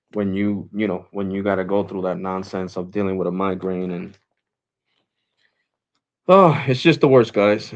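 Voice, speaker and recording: A man's voice: low voice, vocal fry, dull audio. A man in his forties hosting a live stream, chatting casually and rambling, saying "bro" and "guys" often. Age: 40s. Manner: switches to Spanish with family.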